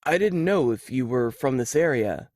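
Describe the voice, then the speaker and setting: A man's voice: mid-range voice, expressive, moderate pace, a little mellow, dry recording, studio quality, close to an everyday voice. Woman reading as a shy, hesitant young man. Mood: sheepish. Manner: hesitant, embarrassed, apologetic. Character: shy, nervous, polite young male grad student. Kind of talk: audiobook character dialogue.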